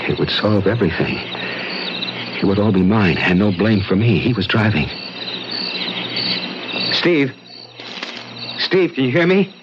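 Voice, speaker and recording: A man playing a scheming, envious twin brother who mutters haltingly to himself in an old-time radio drama. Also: on the low side, lively intonation, noisy background. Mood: shaken. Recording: dull old radio recording, loud background sound.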